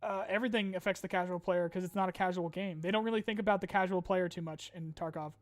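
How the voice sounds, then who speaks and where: A man's voice: sits high, fairly even intonation, fast talker. A man streaming a casual chat, reading viewer questions aloud and answering loosely with fillers, a little tired and his voice getting strained.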